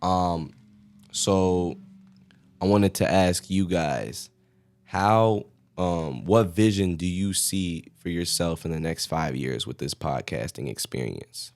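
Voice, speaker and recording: A man hosting a podcast who talks calmly, affirms his guests and asks them questions. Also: low-pitched, slow, dry recording. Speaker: podcast host. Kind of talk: casual podcast chat.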